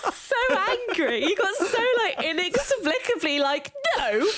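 A woman's voice: extremely high pitch, very animated, measured pace, very noisy. A young woman chatting on a podcast, giggly and teasing. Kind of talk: casual chat.

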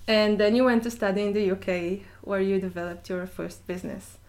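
A woman's voice: mid-range voice, fairly even intonation, fairly quick, a little mellow. Friendly woman interviewer. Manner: friendly, laughs easily, many fillers. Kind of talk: casual interview.

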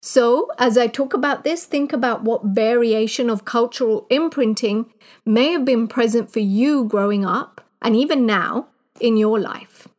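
A woman's voice: on the high side, unhurried, a little mellow. A woman from Kenya, a life coach giving an online mini training, who talks straight to the listener in an earnest, guiding, motivational way.

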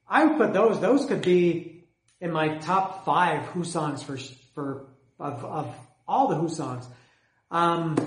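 A man's voice: on the high side, reverberant, rough recording. A man chatting casually about his music collection, rambling and hesitant, often repeating words, saying he is losing his voice. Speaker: music collector reviewing albums.

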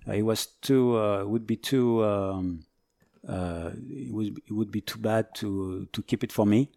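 A man in his forties from France with a French accent, a firefighter guest talking casually, halting and searching for words. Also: fairly low pitch, creaky, dark tone, no echo, studio quality. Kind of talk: casual interview chat.

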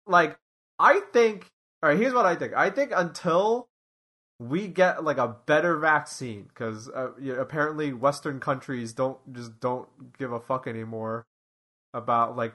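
Rambling man in his late twenties, bright voice. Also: mid-range voice, normal speed. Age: 20s. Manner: rambling, many restarts and fillers. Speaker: podcast co-host. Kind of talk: casual chat.

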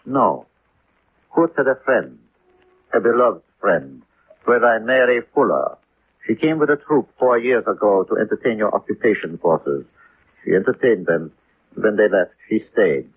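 An actor playing a polite, melancholy German police official in a 1950s radio drama, with a German accent and a formal, measured manner. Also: male voice, fairly low pitch, lively intonation, slightly rough. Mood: dry, resigned. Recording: tinny, hissy 1950s radio recording.

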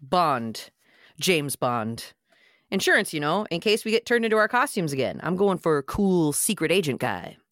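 Woman as wisecracking young guy, lowish dry voice. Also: big pitch swings, normal speed, fairly bright tone, no room sound. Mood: mock-suave. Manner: wisecracking, mock-suave. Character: joking young man, eager to seem cool. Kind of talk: table read of a TV script.

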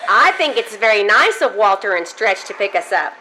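A woman's voice: mid-range voice, big pitch swings, moderate pace, bright, forceful tone, slight background noise, poor audio. A woman playing a sweet, earnest teenage schoolgirl daughter in an old-time radio comedy. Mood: defensive.

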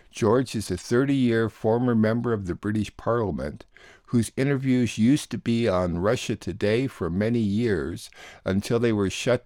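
A man hosts a listener-funded radio program, reading his links in a steady, measured way. His voice sits low and is recorded dry and close, with no room sound around it. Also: no room sound. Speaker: radio show host. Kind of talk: plainly read radio introductions.

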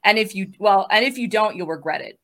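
A woman's voice: mid-range voice, brisk, a bit bright. A woman in her thirties moderating a casual online panel, chatty and upbeat, laughing easily between questions. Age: 30s. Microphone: video call.

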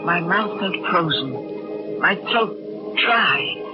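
A woman's voice: fairly low pitch, animated, drawn-out words. An American woman playing an anxious, polite housewife in an old radio suspense drama, speaking earnestly and a little breathlessly with dramatic weight. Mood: frightened. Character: anxious, polite housewife narrating her own suspense tale. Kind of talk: 1950s radio drama acting, first-person narration. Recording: muffled old radio broadcast, loud music behind.

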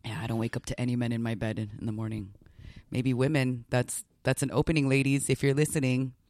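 A man's voice: medium pitch, flat delivery, fast talker, darkish tone. A man co-hosting a comedy podcast, casually joking and teasing his co-host. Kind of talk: casual banter.

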